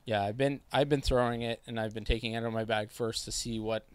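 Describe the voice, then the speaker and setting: A man's voice: medium pitch, fairly even intonation, quick pace, smooth, dry recording. A man in his thirties, a co-host in casual chat, easygoing and agreeable, often trailing off.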